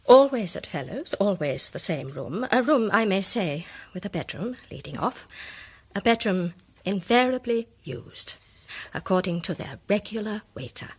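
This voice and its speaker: A woman playing a shrewd, self-assured amateur detective, setting out her case articulately and deliberately in radio drama style. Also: medium pitch, lively intonation, husky. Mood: confident, deliberate. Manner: articulate, deliberate, theatrical reveal. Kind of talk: British radio drama acting.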